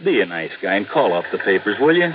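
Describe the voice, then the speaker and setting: A man's voice: fairly low pitch, very animated, fast talker. An actor playing a brash, cocksure arson squad policeman, blunt and slangy, in an old radio drama. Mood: exasperated. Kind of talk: 1950s radio drama acting. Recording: noisy, narrow old radio recording.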